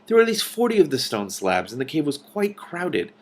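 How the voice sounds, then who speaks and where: A man's voice: a little high, huge pitch swings, brisk. A man reading a storybook aloud and adding casual, exclamatory commentary as he goes. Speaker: reader and commentator.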